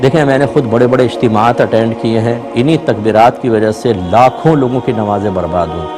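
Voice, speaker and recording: A man speaking as an Islamic scholar, answering a religious question in a measured, explanatory way, point by point. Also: medium pitch, over music.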